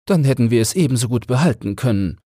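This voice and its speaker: A man's voice: mid-range voice, expressive, fast, mellow, slightly rough, no echo, studio quality, pitched above the performer's own voice. A man voicing a small, frozen, grumpy sparrow with a chirpy, complaining manner in a fairy-tale audiobook.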